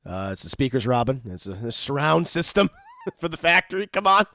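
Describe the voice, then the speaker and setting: A man's voice: mid-range voice, sing-song, fairly quick, pushed and bright, no room sound, studio quality. A man casually joking through a cartoon episode commentary, often mock-voicing the characters. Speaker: commentary host.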